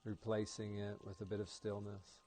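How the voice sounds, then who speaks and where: A man leading a guided meditation as an instructor, speaking in a calm, gentle and soothing manner. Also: lowish voice, normal speed, noisy background.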